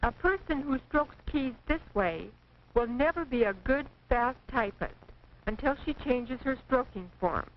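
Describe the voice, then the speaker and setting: An American woman reading scripted 1940s training film narration in a precise, measured, instructive manner. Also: high voice, expressive. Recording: dull, noisy 1940s film soundtrack.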